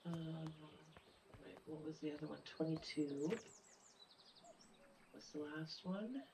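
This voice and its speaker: A woman with a stuffy, blocked-up nose talks her way through a game, thinking out loud and now and then sinking into a low mutter. Her voice has a gravelly, fry-tinged edge. The mic sits a little away from her, with faint birdsong from the game behind her. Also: slow pace, recorded from a distance, background noise. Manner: thinks aloud, muttering and half-whispering while working things out. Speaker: gameplay streamer. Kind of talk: casual let's play commentary.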